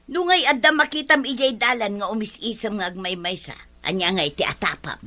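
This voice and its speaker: Aktres a kas makibibiang a babai, natadem ti timekna. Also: female voice, medium pitch, fairly quick, bright, forceful tone, close to an everyday voice. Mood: teasing. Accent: Ilocano. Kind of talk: Ilocano comedy drama acting.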